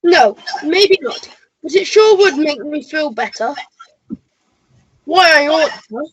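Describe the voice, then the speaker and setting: A gung-ho, hot-headed police constable acted by a woman in a radio play. The voice is high and close, dry of any room, with tough talk. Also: animated, slow pace, dry recording, lo-fi sound. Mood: aggressive, itching. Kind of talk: melodrama radio play acting.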